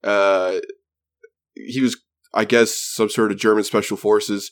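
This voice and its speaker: A man's voice: fairly low pitch, level tone, slow pace. A man giving a casual, rambling movie review on a podcast. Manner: rambling, lots of fillers and restarts. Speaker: podcast co-host.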